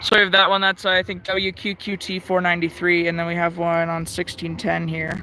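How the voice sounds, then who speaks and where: An American man giving a casual hobbyist demonstration, thinking aloud in a halting way, outdoors. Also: sits high, completely flat delivery, background noise. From United States. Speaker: radio hobbyist presenting. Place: outdoors in the sun.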